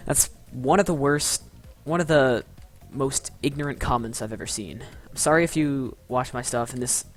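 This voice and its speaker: A man's voice: mid-range voice, unhurried, soft background music. A young man in his teens chatting casually on a live stream, stuttering and restarting often as he bluntly roasts commenters. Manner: stuttering, rambling, with frequent restarts.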